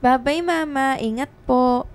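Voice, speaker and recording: Cheerful younger daughter, fairly high voice. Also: female voice, a little slow. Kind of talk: radio drama acting.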